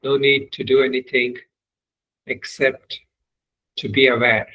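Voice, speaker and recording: Male voice, meditation guide, mid pitch, little melodic movement, unhurried, pausing often. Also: flat delivery, dull audio. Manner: measured, unhurried instruction with long pauses. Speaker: meditation teacher.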